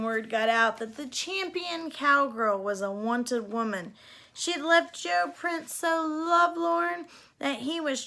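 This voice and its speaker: A woman reading a children's story aloud as an expressive narrator. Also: on the high side, vocal fry. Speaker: storybook reader.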